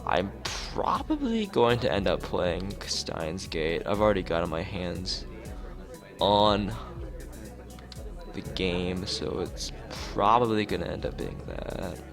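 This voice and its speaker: A man's voice: low voice, big pitch swings, takes it slowly, vocal fry, over music. A man streaming a visual novel, reading it aloud with casual, rambling asides and the odd stumble over lines. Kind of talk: let's play read-aloud with casual asides.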